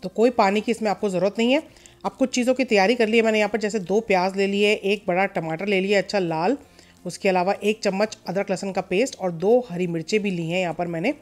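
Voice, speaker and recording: A woman hosting a cooking channel, calmly talking viewers through a recipe step by step in a voice-over and mixing in English words. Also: medium pitch, quick pace, soft background music.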